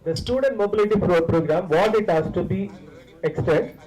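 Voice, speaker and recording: A man with an Indian accent and a slightly high voice gives a promotional talk to students as a university director. He explains point by point, working through lists and often repeating his words. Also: moderate pace, a little mellow, low-quality recording. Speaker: visiting university director of international programs.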